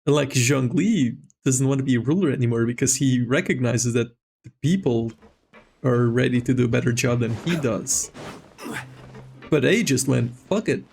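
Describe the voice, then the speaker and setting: Mid-low male streamer, casually rambling. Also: gravelly, darkish tone. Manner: rambling, amused, blunt. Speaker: game streamer. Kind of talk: casual playthrough commentary.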